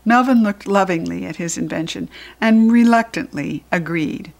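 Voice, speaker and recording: An American woman reading a children's book aloud as a storyteller, expressive and with deliberate emphasis for young listeners. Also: mid-range voice. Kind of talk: animated children's audiobook narration.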